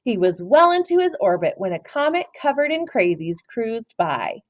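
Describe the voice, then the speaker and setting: A woman reading a picture book aloud in an expressive storytelling style for children. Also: on the high side, normal speed, no room sound. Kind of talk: animated children's read-aloud narration.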